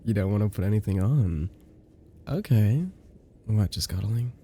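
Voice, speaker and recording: A man playing an affectionate, teasing boyfriend in an intimate ASMR roleplay, with a soft, playful, drawn-out delivery. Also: fairly low pitch, a little hiss or hum, lightly acted. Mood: warm, cozy. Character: affectionate, teasing new boyfriend cuddling his girlfriend.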